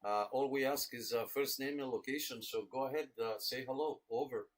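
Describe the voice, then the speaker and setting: A man's voice: medium pitch, fairly even intonation, a little fast. Friendly man hosting a radio check-in. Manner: friendly, thanking and greeting listeners. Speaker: host of a radio club check-in net. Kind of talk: casual livestream roll call of check-ins.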